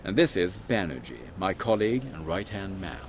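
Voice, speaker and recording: A man playing a pompous British army captain in a comic Victorian audio drama, gentlemanly and blustering. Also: low-pitched, sing-song, moderate pace, background noise. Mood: formal, courteous.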